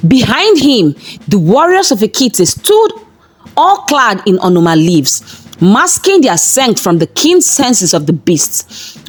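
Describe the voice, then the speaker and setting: A woman storyteller reading a scripted folktale in a measured, dramatic manner. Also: mid-range voice, breathy edge. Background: background music.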